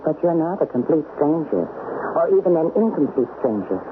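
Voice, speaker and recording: A woman's voice: low voice, sing-song, normal speed. An actress playing an enigmatic, assured lady in a radio drama, theatrical and teasing. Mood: knowing, teasing. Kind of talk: radio mystery drama acting. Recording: muffled, noisy old radio broadcast.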